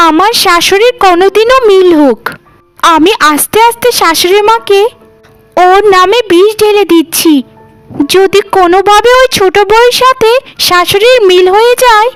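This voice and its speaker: Woman as a very high-pitched, conniving sister-in-law. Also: fairly bright tone, no room sound, poor audio. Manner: theatrical, conniving. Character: sly, jealous, greedy elder sister-in-law plotting against bride. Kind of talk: melodramatic cartoon dialogue.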